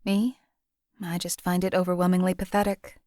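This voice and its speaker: A woman's voice: lowish voice, level tone, normal speed, darkish tone, no room sound, close to an everyday voice. A woman voicing a cold, detached female assassin companion in a video game, talking in a dry, coolly contemptuous way.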